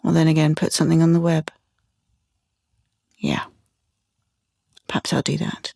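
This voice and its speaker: A woman's voice: low-pitched, flat delivery, a little slow, creaky, a little mellow, no echo, clean studio sound, full character voice. An actress playing a wistful, wary young woman, musing in an audio play. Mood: wistful, undecided. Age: young adult. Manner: musing, wavering.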